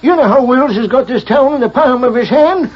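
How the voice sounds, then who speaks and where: A whiny old timid sheriff, super high folksy voice, old muffled radio western. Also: male voice, a little fast. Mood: fretful. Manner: folksy, whining, excitable drawl. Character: fretful, cowed old small-town western sheriff. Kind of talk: 1940s radio western acting. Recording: muffled vintage radio broadcast.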